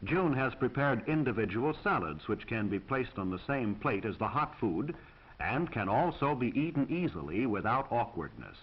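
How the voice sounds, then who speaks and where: A man's voice: medium pitch, big pitch swings, normal speed, breathy edge, lo-fi sound. An American man reading scripted 1940s instructional film narration in a formal, precise, instructive manner on an old film soundtrack.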